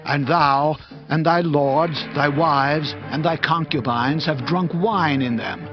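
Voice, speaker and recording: A man playing a grave prophet who rebukes a proud king in a solemn, declamatory way, in a dramatized scripture reading. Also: on the high side. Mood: stern, accusing. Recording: dull lo-fi audio with music.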